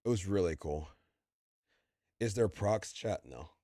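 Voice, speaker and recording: A young man chatting casually on a live stream, rambling and stammering through fillers while his voice is going and he keeps clearing his throat. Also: low voice, lively intonation, a little slow, dark tone, no echo, studio quality.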